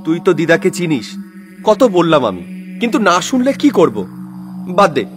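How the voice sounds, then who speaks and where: Earnest family man acted by a man, animated. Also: high voice, normal speed, music in the background. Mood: reassuring. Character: earnest middle-aged family man, dutiful son.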